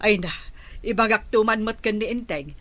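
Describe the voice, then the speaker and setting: A woman's voice: mid-range voice, normal speed, a bit bright, no room sound, natural voice. A woman playing a gossipy village neighbour in a radio comedy drama. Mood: nosy.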